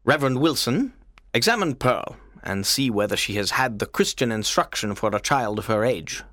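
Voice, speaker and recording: A man's voice: medium pitch, animated, normal speed, fairly bright tone, no echo, studio quality, natural voice. Stern, formal governor voiced by a man. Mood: judging. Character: stern, judgmental governor, a male authority figure. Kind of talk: audiobook character voice.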